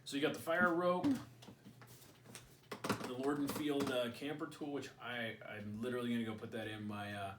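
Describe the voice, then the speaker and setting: A man chats his way through a casual gear review from home, rambling and cracking jokes as he thinks aloud. His voice sits at an ordinary middle pitch. It sounds a little distant and roomy, with a faint hiss behind it. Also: fairly even intonation, moderate pace. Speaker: channel host. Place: indoors at home.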